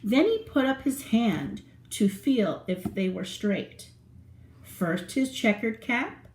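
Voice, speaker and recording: A woman's voice: mid-range voice, a little mellow, roomy sound. Sing-song woman reading to children. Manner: playful, sing-song, talks to young listeners. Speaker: children's storyteller. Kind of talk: reading a picture book aloud to children.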